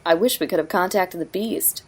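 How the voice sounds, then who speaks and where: Actress as superheroine, slightly low pitch, wistful, superhero audio drama. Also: female voice, normal speed, natural voice. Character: wistful female superhero team member. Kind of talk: fan audio drama acting.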